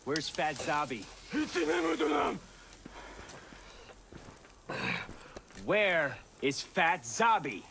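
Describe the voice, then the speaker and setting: A smug handler who bosses hired guns in a video game. He speaks very slowly and drily with condescension, a little distant over faint ambient noise. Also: on the high side, big pitch swings. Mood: demanding. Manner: dry, condescending. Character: smug, frustrated handler ordering hired gunmen around. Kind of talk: video game cinematic acting.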